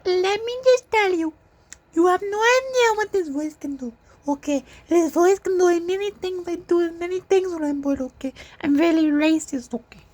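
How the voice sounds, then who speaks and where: A young man putting on a shrill, sassy falsetto voice, bragging in an exaggerated way. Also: normal speed, a little mellow. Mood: boastful. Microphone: phone.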